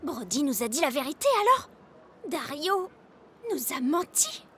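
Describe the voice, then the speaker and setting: A woman's voice: sits high, sing-song, unhurried, a bit bright, a little hiss or hum, heavily acted. A woman voicing a feisty young heroine in a children's cartoon dub. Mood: surprised. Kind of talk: French animated children's series dub. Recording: music behind.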